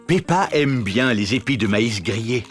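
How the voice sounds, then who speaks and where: A woman's voice: extremely low pitch, animated, measured pace, soft background music. A woman narrating a children's cartoon in short explanatory lines.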